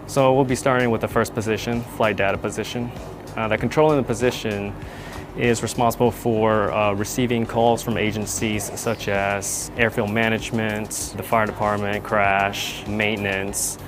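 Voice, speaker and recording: A man narrating an explanatory tour as an air traffic controller, in a measured, semi-scripted way. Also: on the low side, little pitch movement, music in the background.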